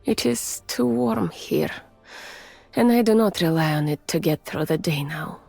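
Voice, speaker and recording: Slow breathy russian lady scientist, gentle and weary, game character, very close and whispery, music behind. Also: on the low side, expressive, dry recording, exaggerated voice. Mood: wistful, quiet. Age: adult, mature. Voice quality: soft, breathy, often near a whisper. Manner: measured, formal, restrained. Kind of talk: soft, intimate ASMR-like game voice acting.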